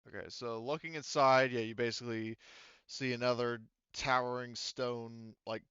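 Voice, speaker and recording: Man, game master, casual halting narration, smooth resonant tone, dry slightly dull recording. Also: mid-range voice, steady tone, a bit bright, dry recording.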